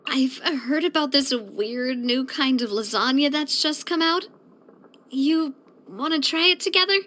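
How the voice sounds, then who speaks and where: A woman's voice: sits high, unhurried. An actress playing a spirited, proud and affectionate young woman boss in a video game, with a theatrical, bubbly delivery. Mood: playful, chatty. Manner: theatrical, expressive, bubbly, with playful grandiosity. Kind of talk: scripted video game character voice lines.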